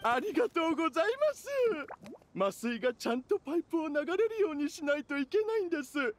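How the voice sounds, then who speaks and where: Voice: sits high, big pitch swings, fairly quick, slight background noise. An actor voicing a fussy, polite young origami squirrel worker in a fantasy game. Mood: earnest. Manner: polite to guests, exasperated with mate. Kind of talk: animated game voice acting.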